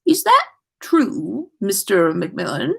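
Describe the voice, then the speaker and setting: A woman's voice: mid-range voice, huge pitch swings, slow pace, gravelly, pushed and bright, husky, full character voice. A woman voicing a cheerful, whimsical old male wizard headmaster with a polite, theatrical, sing-song delivery, in a storybook reading. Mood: genial, inviting.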